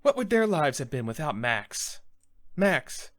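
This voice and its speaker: Stage comedy role: meek, earnest male pleader man acting, nervous and stammering, higher register, very lively intonation. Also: a little slow. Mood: heartfelt. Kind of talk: theatrical stage monologue.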